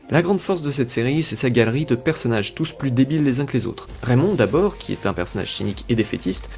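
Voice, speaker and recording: A man's voice: mid-range voice, a little mellow. A man reading a scripted video review as narrator, with a wry, tongue-in-cheek manner.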